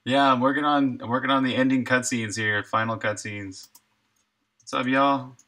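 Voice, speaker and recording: An American man talks off the cuff as a developer on a livestream. His voice sits at a medium pitch with a very bright, sharp tone. The delivery is slangy and laid-back, like chatting with regulars while he works. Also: fairly even intonation, moderate pace. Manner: laid-back slangy talk, chuckles easily. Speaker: indie game developer and live streamer. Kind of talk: casual live-stream chat while coding.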